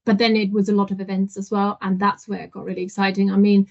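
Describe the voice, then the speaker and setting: A woman guest on a podcast interview, telling her stories in a lively way and often laughing. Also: mid-range voice, fast talker, creaky. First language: not French. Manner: animated storyteller, laughs often. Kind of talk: casual interview. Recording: dull lo-fi audio.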